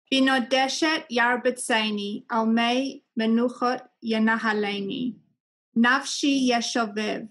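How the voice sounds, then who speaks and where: Woman, thirties, slightly high voice, formal psalm recitation on a video call. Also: fairly bright tone. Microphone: video call, computer microphone.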